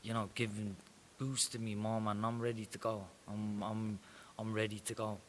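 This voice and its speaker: A man's voice: on the low side, completely flat delivery, normal speed. A young Irish man, a professional boxer, talking confidently and plainly in an interview. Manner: confident, swaggering, plain-spoken. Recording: faint hiss.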